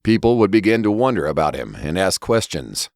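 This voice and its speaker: Deep-voiced male storyteller, expressive and dry-recorded. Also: brisk, no echo. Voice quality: low-pitched voice. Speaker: story reader and podcast narrator. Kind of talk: scripted fiction narration, audiobook reading.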